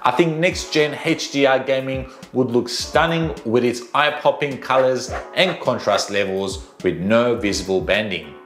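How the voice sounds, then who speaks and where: A male presenter in his thirties narrates a tech video in an upbeat, scripted style. His voice sits at an average pitch. The sound is noticeably roomy, with light music playing behind him. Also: unhurried, few pauses, fairly bright tone. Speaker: home theater channel host. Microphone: lapel mic. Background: background music.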